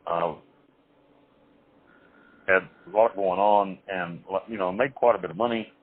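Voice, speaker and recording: A man being interviewed over the phone, telling his life story in a rambling, halting way with many restarts and some blunt, crude wording. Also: sits low. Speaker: interview guest. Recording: tinny phone line.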